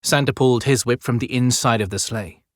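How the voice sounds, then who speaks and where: A man narrating a fantasy audiobook as scripted storytelling, with measured, clearly phrased delivery. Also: medium pitch, dry recording, clean studio sound.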